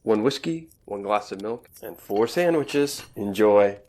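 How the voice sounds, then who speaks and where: An actor playing a kindly, discreet married innkeeper in an audio play. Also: male voice, fairly low pitch, lively intonation, unhurried, darkish tone. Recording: amateur home recording on phone or laptop.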